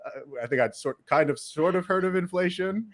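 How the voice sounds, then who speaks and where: A man's voice: sits high, moderate pace. A man hosting a podcast, asking questions in a casual, curious way. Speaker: podcast host. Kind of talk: podcast interview.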